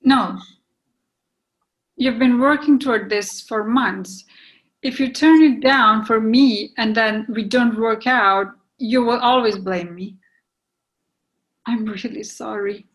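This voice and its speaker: Woman playing a high-voiced, reluctant young woman. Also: slow pace, long pauses, poor audio. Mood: sad, firm. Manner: hesitant, regretful. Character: reluctant, apologetic young woman, guarded and torn. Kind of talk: readers theatre dialogue reading.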